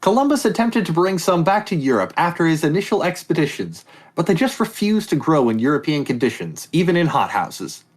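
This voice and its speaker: A man's voice: on the high side, very animated, a little fast. A man hosting a casual comedy podcast quiz, reading statements aloud and joking off on tangents. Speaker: quiz host.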